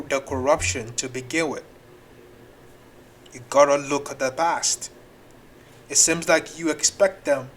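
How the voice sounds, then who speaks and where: A man's voice: a little high, pushed and bright. A man hosting a reaction video, talking back at clips in a rambling, exasperated way. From Nigeria. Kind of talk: casual reaction commentary.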